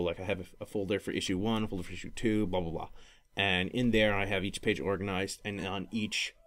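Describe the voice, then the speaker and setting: A man hosting a solo podcast, talking casually in a rambling, halting way and often correcting himself. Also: fairly low pitch. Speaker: podcast host.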